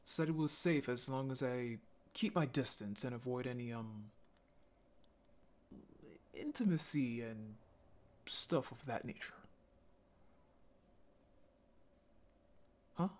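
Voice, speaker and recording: Man acting as a hesitant, gentle young zombie. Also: medium pitch, close to an everyday voice. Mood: awkward, hesitant. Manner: halting, stammering, sighing. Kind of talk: intimate audio roleplay acting.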